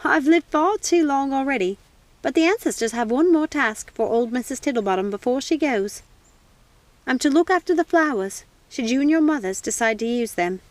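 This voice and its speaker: A high-pitched, folksy old woman from a storybook, as a woman reader puts her on. She is kindly and amused, with a gentle way of addressing everyone as her dears. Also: no echo, close to an everyday voice. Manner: folksy, addresses others as dear. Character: kindly chuckling old woman, ready to pass on.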